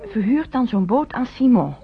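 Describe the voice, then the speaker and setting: A woman's voice: on the high side, sing-song, normal speed, crowd noise. An actress playing an earnest, polite young woman in a radio drama, explaining things eagerly and deferentially. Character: earnest, polite young Frenchwoman helping arrange an escape. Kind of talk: Dutch radio drama acting. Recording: dull, lo-fi old radio recording.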